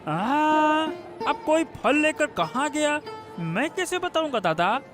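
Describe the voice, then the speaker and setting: A high, slow-talking cartoon fruit seller played by a man, chatty and curious. He repeats himself and exclaims, with music underneath. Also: big pitch swings, exaggerated voice. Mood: gossipy, surprised. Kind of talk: cartoon story dialogue.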